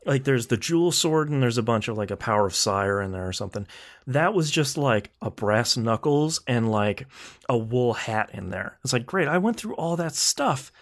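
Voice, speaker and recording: A man's voice: medium pitch, lively intonation, moderate pace. A man hosting a video game podcast in casual conversation, talking in a rambling, enthusiastic and wry way. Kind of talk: casual podcast chat about video games.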